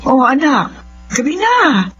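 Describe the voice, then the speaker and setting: High-pitched, anguished, overprotective Filipino mother. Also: very animated, slow pace, fairly bright tone, soft background music, heavily acted. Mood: nagging concern. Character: anguished, overprotective mother of an ailing grown son. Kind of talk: melodramatic radio drama acting. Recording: muffled radio recording, faint hum.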